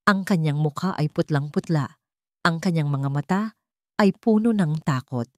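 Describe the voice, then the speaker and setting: A woman narrator reading a scripted romantic drama story in measured, short phrases. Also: sits low, darkish tone, no echo, studio quality.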